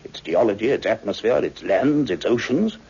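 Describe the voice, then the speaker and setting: A man acting a thoughtful, learned scientist in an old radio science fiction drama, speaking in a grave, measured, scholarly way. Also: fairly low pitch, animated, warm tone, husky, full character voice. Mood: grave wonder. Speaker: lead actor and first-person narrator. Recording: muffled, dull old radio recording.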